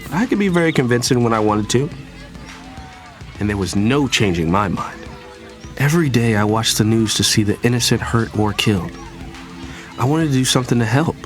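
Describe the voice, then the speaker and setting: A young actor playing an idealistic younger brother tells his story in first person. His pitch sits in an ordinary male range but moves with lively inflection, over faint music. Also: normal speed, pauses often, close to an everyday voice. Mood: reflective, storytelling. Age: young adult. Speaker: narrator and character. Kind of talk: first-person scripted audio drama narration.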